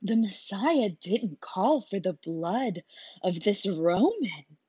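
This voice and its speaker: A woman's voice: medium pitch, very animated, slow, mellow, husky, no echo, lo-fi sound, exaggerated voice. A woman voicing an earnest young man who is close to tears. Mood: firm.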